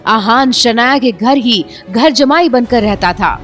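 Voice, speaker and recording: A woman's voice: a little high, animated, slow pace, fairly bright tone, soft background music. A woman narrating a scripted audio drama in a dramatic storytelling manner.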